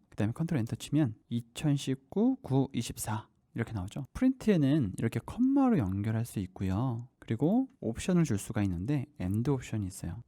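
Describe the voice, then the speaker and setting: A man's voice: mid-range voice, warm tone, dry recording, studio quality. A man in his thirties teaching a programming lesson step by step, often asking the listener questions as he types. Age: 30s. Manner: explains step by step while typing, asks the listener questions. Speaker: coding instructor. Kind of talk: screen-recorded programming tutorial.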